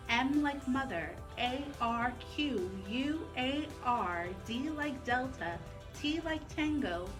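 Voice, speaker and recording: A woman's voice: fairly high pitch, poor audio. A woman co-hosting a talk radio show, presenting in a promotional announcing style. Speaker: radio co-host. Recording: music bed under the voice. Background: music.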